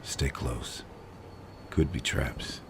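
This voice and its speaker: An actor playing a grim, terse masked male vigilante with a deep gravelly voice in a dramatic audio roleplay. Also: fairly even intonation, background noise, exaggerated voice. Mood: terse, investigative. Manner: terse, slow, brooding, commanding.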